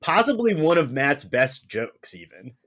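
Laid-back male host, slow delivery with a lively rise and fall. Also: fairly high pitch. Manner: slow, easygoing, upbeat. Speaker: podcast host. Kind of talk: casual chat.

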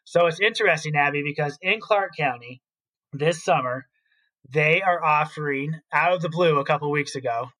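A man's voice: fairly high pitch, normal speed, bright, forceful tone, rough recording. A man co-hosting an education podcast as a school principal, explaining his opinions in casual talk.

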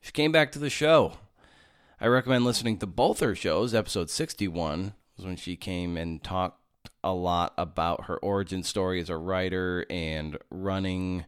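Male podcast host, very low voice, chatty, dry close sound. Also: clear, resonant tone, no echo. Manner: chatty, with fillers and restarts. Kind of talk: casual interview podcast.

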